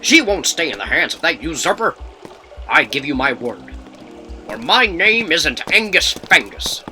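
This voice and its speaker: A defiant cartoon rebel chief, acted out for a comic dub, speaking emphatically in a forceful, bright tone. The delivery rises and falls boldly, with faint music behind. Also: mid-range voice, sing-song, measured pace, heavily acted. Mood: resolute. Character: Angus Fangus, gruff, defiant rebel leader bird. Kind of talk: amateur comic dub acting.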